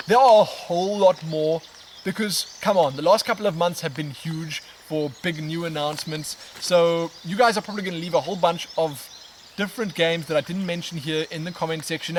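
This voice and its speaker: A man, casually answering viewer questions in a relaxed, expressive, rambling way as a vlog host. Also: fairly high pitch. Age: 20s. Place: outdoors on a riverbank in woodland. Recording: outdoor natural background noise, birds. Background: outdoor ambience with birds.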